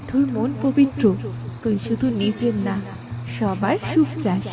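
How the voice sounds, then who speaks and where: Fairy-tale cartoon magic mirror, voiced by a woman: solemn and kindly, highish, far off in noisy night ambience. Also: unhurried, natural voice. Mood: solemn, benevolent. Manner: solemn, pronouncing blessings. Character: benevolent magic mirror spirit granting wishes.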